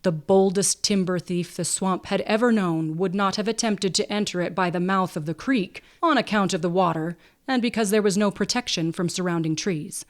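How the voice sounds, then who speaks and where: A woman narrating a literary story from a script, expressive and measured, with a dramatic storytelling delivery. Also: medium pitch. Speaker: audiobook narrator.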